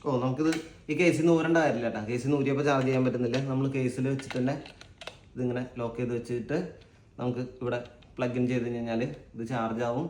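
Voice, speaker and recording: A man's voice: medium pitch, fairly even intonation, quick pace, darkish tone. Male vlog host, Malayali accent. Informal, hesitant unboxing commentary. Roomy, echoing sound with slight background noise. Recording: echoey room with faint background noise.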